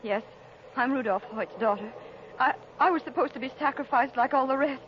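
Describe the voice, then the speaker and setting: A woman playing a weak, earnest young rescued victim, speaking haltingly in 1930s radio drama style. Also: high voice, natural voice. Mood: earnest, shaken. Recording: noisy, muffled 1930s radio recording.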